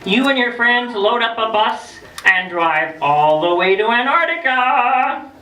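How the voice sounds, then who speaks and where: A woman voicing a playful, hungry female penguin who reads a picture book to children, teasing them with questions and dramatic pauses. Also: a little high, lively intonation, pushed and bright. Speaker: storyteller for children. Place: echoey room with a group of children. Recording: roomy, distant, noisy live room recording.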